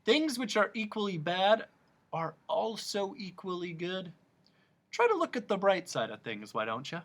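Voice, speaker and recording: A pompous, wheezing humbug creature with a high, swooping, showy voice, as a storyteller puts him on. He talks in flowery, repetitive phrases, rising to loud, drawn-out declarations in a storybook reading. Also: big pitch swings, heavily acted.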